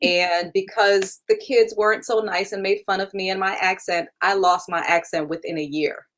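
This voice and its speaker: Interview guest: woman, 30s, from Germany, first language German, candid and easygoing, laughs a lot, everyday mid pitch. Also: steady tone, normal speed, a bit bright. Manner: candid, laughs often, many fillers. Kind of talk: casual interview.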